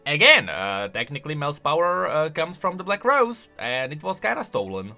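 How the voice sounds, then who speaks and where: A man hosting off-the-cuff commentary on video game lore in an excitable, emphatic way. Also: fairly high pitch, moderate pace, creaky, soft background music.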